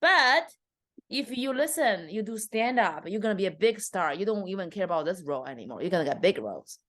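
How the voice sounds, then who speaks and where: A woman's voice: mid-range voice, very animated, brisk, fairly bright tone, no room sound. A woman in her thirties from China, speaking with a Chinese accent, telling her story as a comedian guest in an interview with many fillers.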